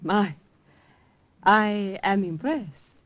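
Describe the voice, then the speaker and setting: An actress playing a composed, inquisitive young secretary in a 1940s radio drama. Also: female voice, medium pitch, drawn-out words, a bit bright, dry recording. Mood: polite. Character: young Italian woman secretary, poised and probing.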